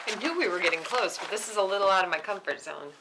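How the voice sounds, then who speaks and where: A woman's voice: mid-range voice, expressive, fast, bright, forceful tone, a little hiss or hum, poor audio. A woman playing a taunting, unhinged young woman in a film script read, mocking, sing-song and goading.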